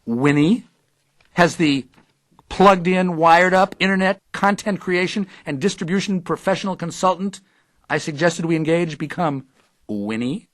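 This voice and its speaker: A man's voice: on the high side, normal speed, dry recording, natural voice. A man playing a pompous, wordy intellectual radio interviewer in an audio drama, sarcastic and easily indignant. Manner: verbose, sarcastic.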